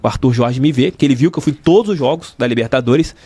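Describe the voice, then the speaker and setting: Fast-talking, effusive man from Rio de Janeiro state. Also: medium pitch, a little mellow, slightly rough. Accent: Brazilian, from Rio de Janeiro state. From Brazil. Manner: effusive, emphatic, repeats phrases for emphasis. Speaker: sports journalist, interview guest. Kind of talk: casual storytelling in an interview. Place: podcast studio.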